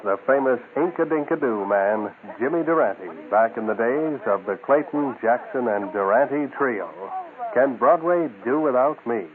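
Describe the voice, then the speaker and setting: Man, radio show host, scripted promo announcing, middle pitch, narrow tinny radio sound. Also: lively intonation, measured pace, a crowd in the background. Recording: thin band-limited radio recording.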